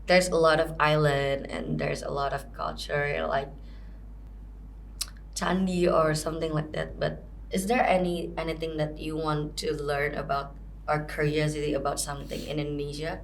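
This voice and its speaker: A woman hosting a casual podcast chat with international students, prompting them with easy questions and steady backchannels. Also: low-pitched, moderate pace, creaky, a little hiss or hum, low-quality recording. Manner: relaxed, frequent encouraging backchannels. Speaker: podcast host.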